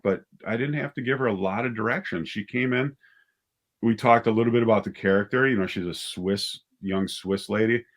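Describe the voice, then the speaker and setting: Male, low-pitched voice, relaxed interview guest; a writer-director chatting through anecdotes. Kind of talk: casual interview chat.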